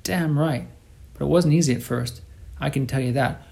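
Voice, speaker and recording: A man's voice: medium pitch, moderate pace, creaky, a little mellow, pitched above the performer's own voice, lightly acted. A man reading as a chatty, nosy male cab driver with a sing-song voice. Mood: chummy. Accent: northern provinces sing-song. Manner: sing-song, gabby, slangy.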